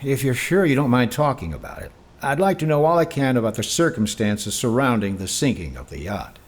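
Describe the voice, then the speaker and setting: A man playing a polite, probing, skeptical insurance investigator in an old-time radio detective drama, measured and wry. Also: mid-range voice, sing-song. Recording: clear background noise and ambience.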